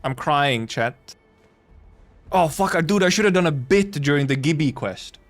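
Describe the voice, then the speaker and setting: A man's voice: fairly high pitch, animated, normal speed. Rambling, blunt male game streamer over music. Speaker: livestreamer. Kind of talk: casual gaming stream chat.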